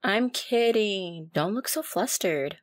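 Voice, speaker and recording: A woman's voice: medium pitch, animated, slow pace, no room sound, clean studio sound. A woman playing a wry young demon student, casual, teasing and protective, in an audio roleplay. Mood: playful, teasing. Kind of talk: ASMR audio roleplay acting.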